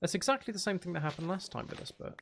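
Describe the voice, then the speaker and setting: A man's voice: sits high, expressive, rapid-fire, creaky, a bit bright, a little hiss or hum. A man giving casual, unscripted gameplay commentary as a video host, thinking aloud and trailing off mid-sentence.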